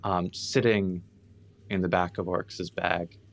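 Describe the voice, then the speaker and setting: Low-pitched man chatting during a game. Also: fairly even intonation, measured pace, gravelly. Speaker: player in tabletop game. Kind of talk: casual game chat.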